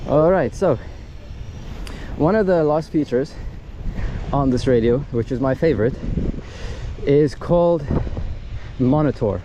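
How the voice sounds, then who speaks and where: A man's voice: medium pitch, lively intonation, a little slow, pauses often, muffled recording. A man giving a casual hands-on product demonstration, explaining things step by step as he goes, recorded outdoors. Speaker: gadget reviewer. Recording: loud wind and outdoor ambient noise.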